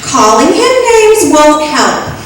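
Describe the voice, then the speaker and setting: A woman's voice: high-pitched, big pitch swings, slow, full character voice. A woman playing a sweet, naive, scatterbrained young secretary in an old-time radio comedy, with an earnest delivery. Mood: innocent surprise. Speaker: radio play actor. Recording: very echoey hall, distant, heavy noise.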